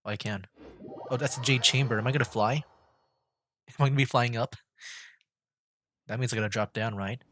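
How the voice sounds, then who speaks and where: A man livestreaming a game with casual commentary, thinking aloud in a loose, halting way. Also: medium pitch, steady tone, a bit bright, slight background noise. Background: game music.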